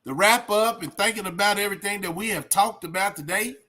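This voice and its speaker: High, bright-voiced man ranting casually. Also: a little fast. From Texas, United States. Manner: streetwise slangy talk with frequent "man" and "bro". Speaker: host of a reaction livestream. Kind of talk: casual opinionated rant to viewers.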